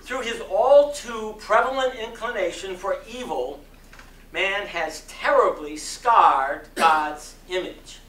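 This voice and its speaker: A man performing a dramatic sermon reading in an oratorical style, with solemn, emphatic phrasing and long deliberate pauses. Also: high voice, fairly bright tone. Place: community center hall before an audience. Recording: roomy echo, distant mic, faint noise.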